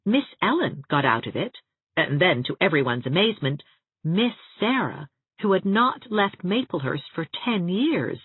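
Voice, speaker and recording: A woman's voice: fairly low pitch, very animated, a little slow, breathy edge, no room sound, narrow, tinny audio. A woman narrating an audiobook story expressively from a script.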